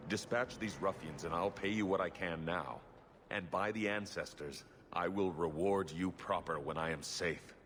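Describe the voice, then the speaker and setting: A man's voice: sits low, normal speed, a bit bright, a little hiss or hum. A man voicing a proud, grave exiled dwarven nobleman in a video game, formal and solemn. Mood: solemn, grateful.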